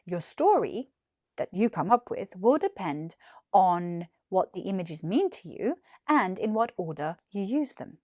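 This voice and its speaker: British female storyteller, twenties, scripted children's narration, lively intonation, dry sound. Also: mid-range voice, a little slow, no room sound. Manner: clearly articulated, animated. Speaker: actor reading a children's story. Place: small furnished room indoors. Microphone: lapel mic.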